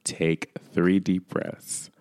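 A man with a very low voice, smooth and resonant despite a lingering rasp from recovering his voice. He chats casually to his podcast listeners, leaning on fillers and friendly asides. The sound is close and dry. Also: little pitch movement, drawn-out words, darkish tone, no echo. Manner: chatty, filler-heavy, addresses listeners as "you guys". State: recovering from lost voice, raspy. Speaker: podcast host. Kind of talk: casual solo podcast chat.

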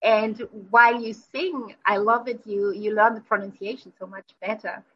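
A woman's voice: medium pitch, steady tone, normal speed, highs cut off, a little hiss or hum. A woman whose first language is German, hosting a conversational interview, enthusiastic and effusive with many "you know"s. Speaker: podcast host.

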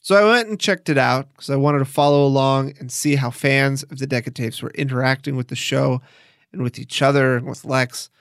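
A man's voice: medium pitch, a little fast, fairly bright tone, no room sound, clean studio sound. A man hosting a documentary podcast, narrating and interviewing in a casual, enthusiastic, conversational way.

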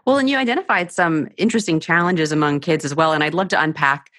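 A woman's voice: sits low, fast, fairly bright tone, no echo, clean studio sound. A woman hosting a show and interviewing a guest, who thinks aloud and sums up his points before each question. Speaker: podcast host.

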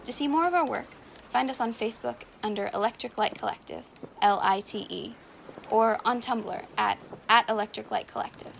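A woman's voice: on the high side, normal speed, creaky, slight background noise. A woman co-writer presenting scripted closing credits for a podcast.

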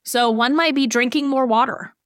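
A woman's voice: high voice, moderate pace, a bit bright, clean tone, no room sound, clean studio sound. A woman in her late thirties co-hosting a podcast, explaining ideas and giving everyday examples in a casual way. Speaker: podcast co-host.

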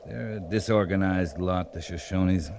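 A low-pitched male cavalry captain from an old radio western. He is terse and understated, with steady authority. The vintage recording carries faint noise. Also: measured pace. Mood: calm, watchful. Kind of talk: 1950s radio western acting.

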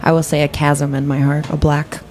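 A woman's voice: sits low, flat delivery, normal speed, a little mellow. A woman co-hosting a radio show in a studio, bantering casually with playfully theatrical phrasing. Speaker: radio co-host.

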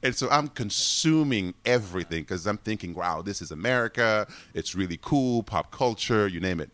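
Deep-voiced man telling stories. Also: moderate pace, no echo. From Congo. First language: French. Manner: animated, laughs often while telling stories. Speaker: guest. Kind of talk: casual interview storytelling.

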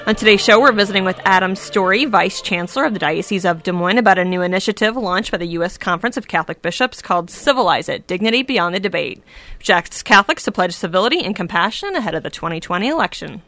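A woman's voice: medium pitch, lively intonation, fast, pushed and bright, no echo. A woman with an American accent presenting a radio show from prepared copy as co-host.

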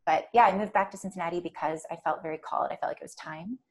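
Soft-spoken woman with gravelly fry. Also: lowish voice, racing. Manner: soft-spoken, rambling, many fillers. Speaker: podcast guest. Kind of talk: casual chat.

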